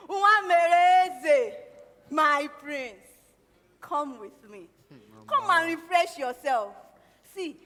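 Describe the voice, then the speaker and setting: Actress as wise motherly confidante, stage play, slow measured counsel, bright projected voice, dramatic pauses. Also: high-pitched, full character voice. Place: stage in a large hall. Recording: reverberant theatre hall.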